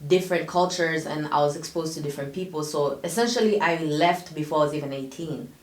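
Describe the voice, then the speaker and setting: Low-voiced woman talking in a roomy space. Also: fast talker. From Tanzania. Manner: reflective, explains at length with frequent "like". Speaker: podcast guest. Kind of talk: candid podcast conversation. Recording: roomy echo.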